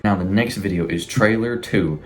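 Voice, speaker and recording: A man giving casual, rambling, informal commentary as a YouTube host, with animated reactions. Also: on the low side, normal speed, slight background noise. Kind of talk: casual commentary on a horror series.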